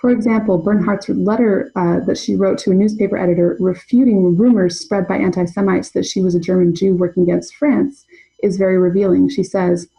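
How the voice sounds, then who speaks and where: A young adult woman presenting an academic paper in a measured, scholarly way. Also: medium pitch, steady tone, vocal fry, dark tone, low-quality recording. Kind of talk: reading a prepared conference paper.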